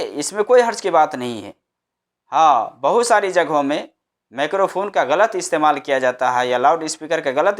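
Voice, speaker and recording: A man giving an Islamic religious lecture, explaining step by step and repeating phrases for emphasis. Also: high-pitched. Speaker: Islamic preacher. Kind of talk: religious lecture with scripture quotations.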